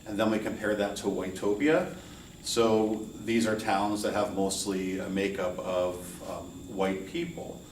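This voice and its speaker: A middle-aged American man from New York and Hawaii, speaking as a college instructor in an informal, measured and earnest welcome lecture. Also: lowish voice, steady tone. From United States, New York City and Hawaii. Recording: roomy echo, distant mic, faint noise.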